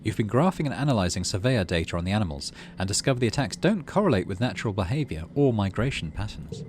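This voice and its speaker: Lively man narrating a game playthrough. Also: fairly low pitch, quick pace, slight background noise. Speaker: gaming video host. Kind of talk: game playthrough commentary and reading story text aloud.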